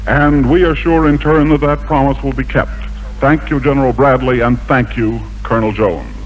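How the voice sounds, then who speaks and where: Male 1940s radio announcer: middle register, measured and formal scripted delivery, muffled period broadcast sound. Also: medium pitch. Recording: dull vintage 1940s radio recording.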